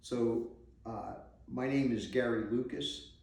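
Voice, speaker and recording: A man in his sixties from New Jersey, recalling his past in a rambling, halting way during an unscripted interview. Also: mid-range voice, steady tone, creaky, darkish tone. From New Jersey, USA. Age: 60s. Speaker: interviewee sharing memories. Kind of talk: unscripted oral-history recollection. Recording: echoey room, mic at a distance, faint noise.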